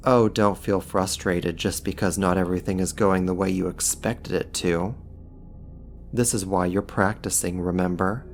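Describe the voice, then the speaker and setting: A man's voice: sits low, fairly even intonation, moderate pace, slight background noise. A man playing a powerful, sly demon in an ASMR roleplay, sarcastic and teasing with condescending mock politeness. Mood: condescending, taunting. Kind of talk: ASMR roleplay, slow scripted monologue to the listener.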